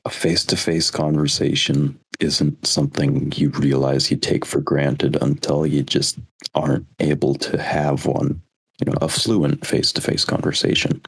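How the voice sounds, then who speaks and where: A young American man co-hosting a casual podcast, talking in a rambling, thoughtful way. His voice sits extremely low, with a gravelly fry and a husky edge. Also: little pitch movement, normal speed, poor audio. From United States. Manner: rambling, reflective, with frequent restarts. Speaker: podcast co-host. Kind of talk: casual chat.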